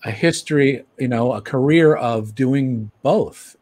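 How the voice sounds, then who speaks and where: A man's voice: medium pitch, measured pace, darkish tone. A middle-aged man co-hosting a video call, talking in a rambling way and trailing off mid-thought. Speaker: co-host, puppeteer. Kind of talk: casual video call conversation. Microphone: video call from home.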